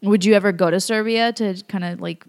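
A woman's voice: medium pitch, steady tone, brisk. Curious woman asking follow-up questions. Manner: encouraging, frequent backchannel, curious follow-up questions. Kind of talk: casual interview chat.

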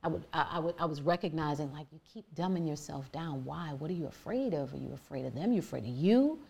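Deep-voiced woman telling her story emphatically. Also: quick pace, vocal fry, slightly rough. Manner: emphatic, animated, with frequent fillers and restarts. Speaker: guest. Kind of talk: candid personal storytelling.

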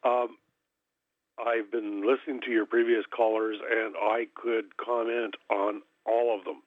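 Man, radio caller, measured, deliberate, very slow, husky, tinny phone line. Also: lowish voice, little pitch movement, no echo. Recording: thin telephone line.

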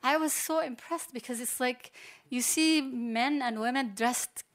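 A middle-aged woman from Palestine speaks as a panel guest, her voice carrying a gravelly creak. She talks off the cuff, pausing and restarting her sentences as she goes. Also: sits high. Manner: hesitant, many restarts and fillers. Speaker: panel guest, computer science professor. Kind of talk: unscripted panel talk.

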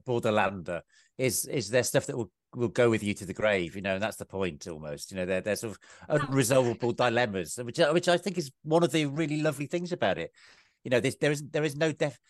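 A man's voice: mid-range voice, no room sound, studio quality. A man speaking as a podcast guest and author, talking in a reflective way, often repeating words as he starts a thought. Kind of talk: reflective conversation.

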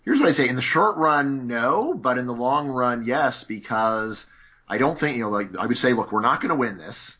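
Guy arguing his point in an interview, says "you know" a ton, average pitch, lo-fi sound. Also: lively intonation, normal speed, bright, forceful tone. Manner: argumentative, lots of "you know" fillers. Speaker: interview guest. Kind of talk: conversational interview.

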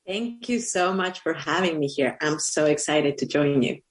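A woman's voice: deep voice, expressive, normal speed. A middle-aged woman from Colombia, a political candidate speaking earnestly and reflectively as a radio interview guest. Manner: earnest, reflective, with many fillers.

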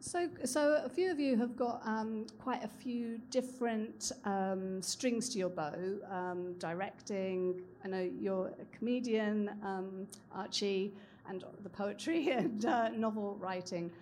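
A woman's voice: mid-range voice, slow pace, a little mellow, slight background noise. A woman with a mid-range voice hosts a live writers' panel, leading with questions and short, encouraging replies. Faint ambience from the event surrounds her. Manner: encouraging, brief prompts. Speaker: panel host. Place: event stage with audience.

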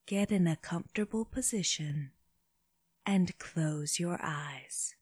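A woman leading a guided meditation for children, speaking gently in short phrases with long pauses, her breathy voice often dropping toward a whisper. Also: fairly low pitch, no room sound. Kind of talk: slow guided body scan meditation.

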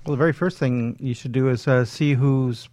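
Guy guest expert explaining stuff on a talk show, mid pitch, super dry close sound. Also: steady tone, moderate pace, a little mellow, dry recording. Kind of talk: conversational explanation.

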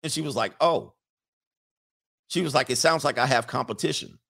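A man's voice: mid-range voice, normal speed, creaky, a bit bright. A man hosting a livestream, rambling casually, reading chat comments aloud and laughing often. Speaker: livestream host.

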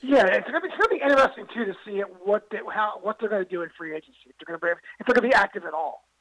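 A man's voice: high voice, expressive, racing, fairly bright tone, poor audio. A man co-hosting a sports radio show, discussing baseball casually and emphatically, often repeating his phrases. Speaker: sports radio co-host and reporter.

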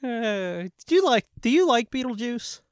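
A man's voice: extremely high pitch, big pitch swings, normal speed, smooth. A man co-hosting a podcast, talking casually about films and shows in a blunt, sweary way. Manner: blunt, profane. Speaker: podcast co-host.

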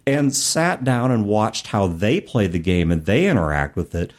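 A man's voice: lowish voice, big pitch swings, moderate pace. A middle-aged man hosting a podcast, talking conversationally and emphatically, often listing words one by one for effect. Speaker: podcast host. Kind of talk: conversational commentary on tabletop gaming.

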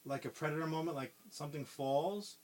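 A man's voice: a little high, brisk. A man co-hosting a podcast, casually retelling a movie plot in a rambling way, with constant swearing and lots of fillers. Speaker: podcast co-host.

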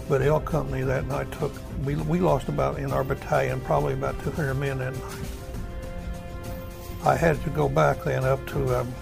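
An older American man, a war veteran, recalling his experience in halting, plain-spoken unscripted talk with a gravelly voice. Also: medium pitch, poor audio. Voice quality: creaky, gravelly. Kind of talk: unscripted oral history reminiscence.

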